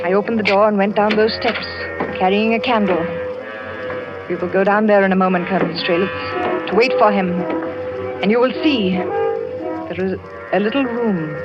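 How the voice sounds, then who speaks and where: An actress playing a frightened village wife in a radio suspense drama, with a halting, anguished, dramatic delivery. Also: female voice, medium pitch, lightly acted. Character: frightened village wife confessing her fears about her husband. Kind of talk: old-time radio suspense drama acting. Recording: muffled vintage radio recording, loud music.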